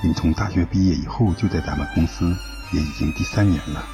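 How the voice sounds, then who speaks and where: Very low-voiced company boss. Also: male voice, quick pace. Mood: businesslike. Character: middle-aged male company boss, courteous and businesslike. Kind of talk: radio drama dialogue. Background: music.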